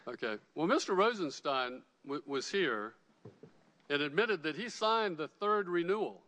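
A congressman with a fairly high voice presses a witness and keeps restarting his questions. The sound carries a long reverb from the large hearing room he is in. His questions come one after another. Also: expressive, measured pace, sharp tone. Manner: pressing, repeats his starts. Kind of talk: hearing cross-examination.

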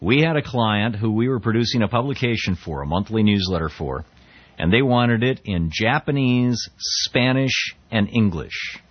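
A man's voice: on the low side, expressive, moderate pace, no echo, lo-fi sound. A middle-aged man from the United States hosting a talk show, giving a conversational political commentary with frequent restarts and quoted readings. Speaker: talk radio host.

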